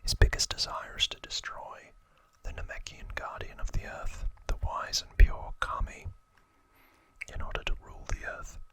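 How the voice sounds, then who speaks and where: A man whispering softly in a breathy, unhurried ASMR style as he explains trivia informally, pausing between short phrases. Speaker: ASMR video host.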